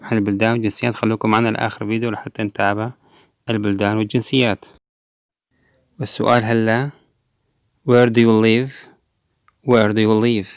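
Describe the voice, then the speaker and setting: A man teaching a language lesson, repeating each example phrase twice slowly and explaining quickly in between. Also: fairly low pitch, level tone, no echo, studio quality. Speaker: English teacher.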